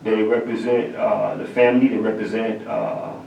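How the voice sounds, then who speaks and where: A man, a drummer appearing as a guest, answering thoughtfully and haltingly with many fillers and restarts. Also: lowish voice, little pitch movement, vocal fry, dull audio, slight background noise. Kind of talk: interview answers. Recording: roomy sound from a distant mic.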